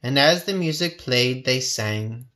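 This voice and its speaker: A man narrating a children's storybook with expressive, measured phrasing. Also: mid-range voice, noisy background. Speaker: storybook reader.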